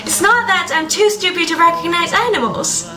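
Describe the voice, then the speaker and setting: Young woman, first language Chinese, high voice, wry scripted storytelling, music playing behind her. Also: moderate pace, sharp tone. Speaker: blogger. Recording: echoey room with background music.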